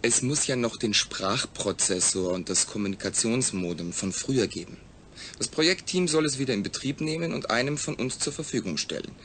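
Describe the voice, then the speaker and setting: A man acting in a German audio drama as a calm, rational astronaut, speaking in a measured, explanatory way. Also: mid-range voice, slight background noise, natural voice. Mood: reasoning, cooperative.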